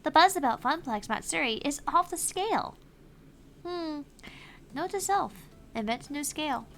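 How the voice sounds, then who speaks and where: A perky AI helper chirps out beeping announcements in a high, bright voice, as a woman reads her from a visual novel. She is quick and quirky. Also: animated, faint music behind. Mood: chipper. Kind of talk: reading visual novel dialogue aloud.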